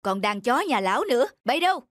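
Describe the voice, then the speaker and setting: A man's voice: very high-pitched, very animated, fast talker. A man playing an arrogant, greedy rich landlord in a cartoon folk tale, haughtily barking orders. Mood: domineering.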